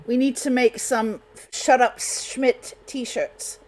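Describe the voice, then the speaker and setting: A woman from Germany hosting a casual radio chat, with halting, repetitive phrasing. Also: on the high side, steady tone, darkish tone. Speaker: radio show host.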